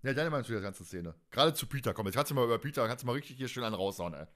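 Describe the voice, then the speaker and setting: Male podcast host, mid-range pitch, colloquial, bantering, casual. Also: animated, racing, fairly bright tone. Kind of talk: casual chat.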